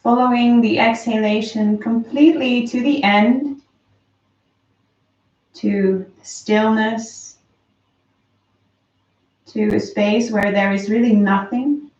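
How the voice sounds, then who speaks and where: Woman leading a slow breathing meditation, soft and calm, far off in a roomy dull recording. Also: a little high. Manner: slow, soft, calm guidance with long pauses. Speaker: meditation teacher. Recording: roomy, distant, dull lo-fi sound.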